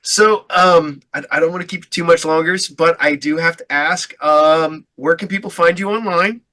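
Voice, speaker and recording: A man's voice: on the high side, steady tone, moderate pace, sharp tone. A male podcast host chatting casually, stammering over restarts and laughing often. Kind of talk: casual chat.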